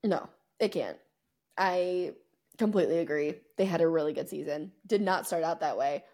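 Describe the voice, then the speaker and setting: A woman with a slightly low, very mellow voice hosts a casual sports podcast. She chats loosely and informally, with a playful, self-mocking streak. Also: moderate pace. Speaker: podcast host. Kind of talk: casual sports podcast chat, season recap.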